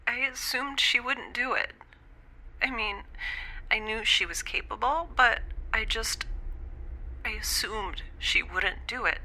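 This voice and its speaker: A woman in an audio drama speaking a halting, often whispered confession. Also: fairly high pitch, sharp tone, low-quality recording. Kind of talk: scripted audio drama monologue.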